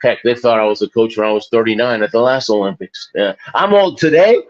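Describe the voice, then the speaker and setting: A man's voice: on the low side, fairly quick, highs cut off. A man in his forties, a guest in an interview, talking casually in a rambling, self-deprecating way and laughing often. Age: 40s. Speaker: guest, Olympic athlete and author.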